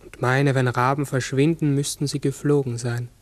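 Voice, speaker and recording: An actor playing a large talking raven in a 1960s radio drama, brooding, uncertain and often correcting himself. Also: male voice, mid-range voice, little pitch movement, dry recording, close to an everyday voice. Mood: pensive, uncertain. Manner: halting, brooding, self-correcting. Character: human-sized talking raven, pensive, uncertain, forgetful.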